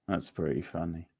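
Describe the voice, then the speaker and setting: A man's voice: low-pitched, creaky, mellow, husky. A man hosting a quiz in a casual, laid-back, chatty manner. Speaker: quiz host. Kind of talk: casual live quiz chat.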